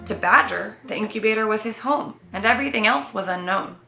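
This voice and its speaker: A woman, a children's author, reading and presenting her own storybook in an expressive read-aloud style. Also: mid-range voice, a little fast, creaky. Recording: roomy echo, faint music behind.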